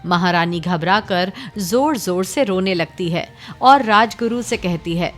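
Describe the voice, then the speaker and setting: A woman narrating a cartoon story in a steady storytelling manner. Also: fairly low pitch, measured pace, faint music behind.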